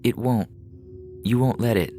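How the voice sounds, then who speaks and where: A man acts out a grave, dutiful young girl in an audio story. She pleads earnestly and worries like someone older, her pitch raised well over the narrator's, with faint music beneath. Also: unhurried, warm tone, close to an everyday voice. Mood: worried, insistent. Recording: music behind the voice.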